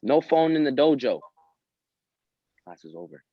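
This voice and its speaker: A man of medium pitch reads from a script and prompts other readers. The sound is close and dry, with the slightly dull band of call audio. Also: big pitch swings, measured pace, no echo. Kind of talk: table read, reading lines and coaching. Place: video call table read.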